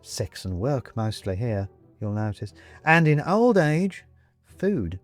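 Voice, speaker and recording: A man voicing a jovial, persuasive ghost gentleman in an audiobook, with a British accent and clipped, chummy, old-fashioned upper-class phrasing. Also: mid-range voice, sing-song, slow, no room sound, faint music behind. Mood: proud, explaining. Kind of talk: audiobook character acting.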